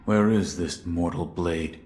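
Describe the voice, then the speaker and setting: A dutiful shinobi in a video game, his deep voice ringing in a long reverb. He is solemn and sparing with words. The delivery stays restrained and understated, that of a loyal, stoic warrior. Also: takes it slowly, a little mellow, soft background music. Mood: inquiring, grave.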